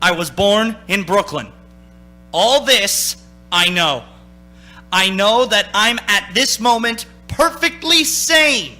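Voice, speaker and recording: A man's voice: high voice, lively intonation, takes it slowly, bright, forceful tone, soft background music, full character voice. An actor playing a haunted man of thirty-six who tells his story in dramatic old-time radio style. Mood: desperate, urgent. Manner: urgent, dramatic first-person narrating.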